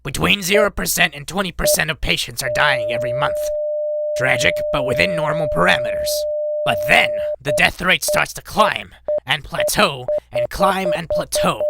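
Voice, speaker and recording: A man's voice: on the high side, big pitch swings, normal speed, gravelly, heavy background noise. A man playing a worn, grumpy but earnest robot doctor in an audio drama, exasperated and insistent. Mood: grave.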